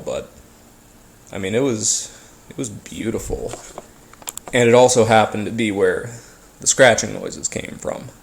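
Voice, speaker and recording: A man telling a first-person story casually, as if being interviewed, in a halting, hesitant way with pauses and repeats. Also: lowish voice, vocal fry. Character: ordinary man recounting childhood brushes with a haunting.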